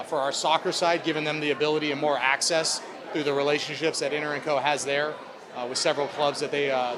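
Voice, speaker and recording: A man speaking as a club president, answering reporters' questions in upbeat corporate language. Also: fairly high pitch. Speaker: sports club president at a press conference.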